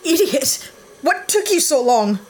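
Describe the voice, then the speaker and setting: An actress playing a brash, trigger-happy young heroine who talks bluntly and snappily. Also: female voice, sits high, sing-song, measured pace, a little mellow, slight background noise.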